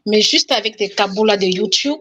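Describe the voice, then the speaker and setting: A woman's voice: medium pitch, a little fast, fairly bright tone. Emotional woman from Cameroon calling in. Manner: emotional, repeats herself, voice breaking at times. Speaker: caller giving testimony. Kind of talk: emotional call-in testimony.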